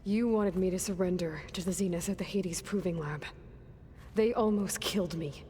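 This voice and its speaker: Low-pitched, skeptical young heroine played by an actress. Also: female voice, moderate pace, mellow, a little hiss or hum, natural voice. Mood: accusing. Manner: blunt, wry, probing. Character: guarded, skeptical, headstrong young heroine, wry and blunt. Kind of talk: video game dialogue acting. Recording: faint music behind the voice.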